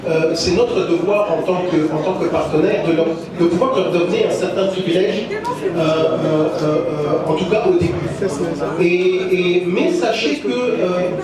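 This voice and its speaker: Hesitant higher-pitched man in echoey hall. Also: animated, unhurried. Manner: hesitant, stammering repetitions. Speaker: project partner answering press questions. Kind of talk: spontaneous press conference answers. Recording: echoey hall, distant mic, crowd noise.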